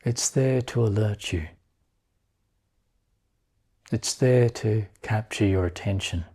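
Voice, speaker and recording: Slow, husky man guiding a meditation. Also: fairly low pitch. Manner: calm, unhurried phrases with long pauses. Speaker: meditation guide. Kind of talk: guided meditation.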